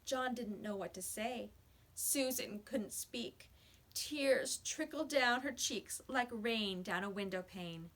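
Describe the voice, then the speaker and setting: A woman's voice: on the high side, slow, a bit bright. A woman reading a children's story aloud like a teacher, with emphatic, dramatic storytelling.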